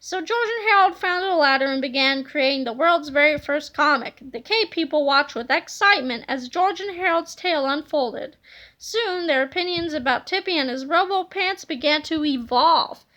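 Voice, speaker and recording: Very high, bright woman reading a storybook. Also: expressive, normal speed, rough recording. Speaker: read-aloud narrator. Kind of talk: expressive children's storybook narration.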